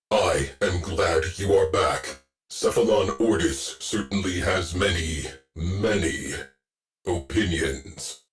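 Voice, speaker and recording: Casting: a solemn military ship intelligence in a sci-fi game, terse and stern, deep register, measured delivery. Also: male voice. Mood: formal, dutiful. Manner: terse, clipped, commanding, solemn. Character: stern, stoic male artificial intelligence commanding a warship. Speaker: ship's AI voice in a video game. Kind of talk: scripted game voice lines, military briefing.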